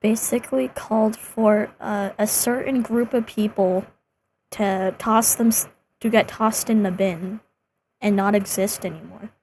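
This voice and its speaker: A woman's voice: mid-range voice, fairly even intonation, measured pace, a little mellow, a little hiss or hum, poor audio. A young woman from Japan whose first language is Japanese, chatting bluntly and swearing freely in a casual conversation. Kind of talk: casual chat.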